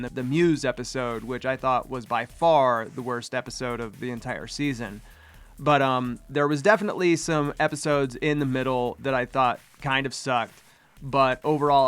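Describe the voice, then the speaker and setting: A chatty, upbeat man talks through a casual commentary in a smooth, resonant voice that still sounds slightly congested. He rambles along with the odd filler at a mid pitch. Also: a bit bright. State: slightly congested, recovering from a sinus infection. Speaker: host.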